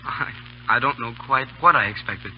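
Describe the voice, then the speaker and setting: An actor in an old radio drama playing an eager, polite, naive young lieutenant, earnest and deferential. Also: male voice, medium pitch, normal speed, a little hiss or hum, lightly acted. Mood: uncertain. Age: young adult. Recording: muffled, humming old radio broadcast.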